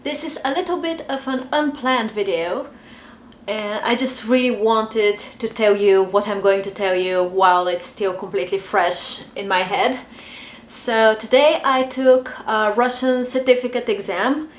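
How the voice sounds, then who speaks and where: A young adult woman with a Bulgarian accent, talking casually to camera and pausing often with fillers. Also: mid-range voice, a little hiss or hum. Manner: chatty, hesitant, many fillers. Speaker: vlogger. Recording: echoey room, faint ambience.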